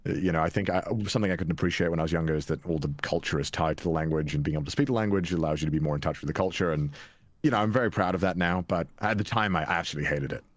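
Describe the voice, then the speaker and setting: Deep-voiced young Welsh man, dry sound. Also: very fast, no echo. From Wales. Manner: chatty, self-deprecating, frequent restarts and laughs. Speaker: guest. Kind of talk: casual interview chat.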